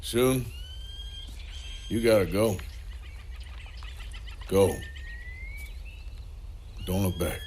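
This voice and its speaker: A worn-down, disillusioned outlaw from a Western game. He speaks low and very slowly in an American Western drawl, heavy and tired of it all. Background noise sits clearly behind him. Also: warm tone. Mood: grave, disillusioned. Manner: slow, heavy, world-weary delivery. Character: weary, disillusioned American outlaw gunman facing his end. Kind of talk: naturalistic dramatic game acting.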